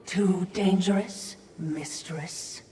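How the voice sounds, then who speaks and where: Echoey game sidekick: sly, insinuating female creature, lowish pitch, lively intonation, loud music behind. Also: extremely slow, slight background noise. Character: Watcher, sly, needling female servant creature. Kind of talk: dramatic video game acting.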